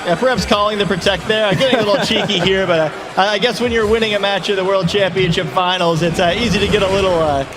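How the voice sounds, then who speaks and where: A man giving color commentary at a gaming tournament, breaking down strategy in a quick, analytical way with frequent fillers. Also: high-pitched, fairly even intonation, over music.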